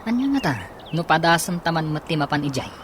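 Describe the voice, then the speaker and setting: A man's voice: on the high side, animated, fast, natural voice. Male actor as supportive young best buddy, Ilocano accent, radio serial style, faintly noisy track. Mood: encouraging. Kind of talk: Ilocano radio drama acting.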